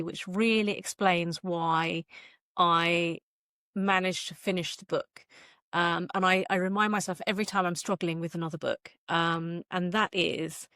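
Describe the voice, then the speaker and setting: A woman's voice: lowish voice, fairly bright tone, clean tone, no room sound, studio quality. A woman giving a casual interview as a guest author, talking in a hesitant, self-interrupting way.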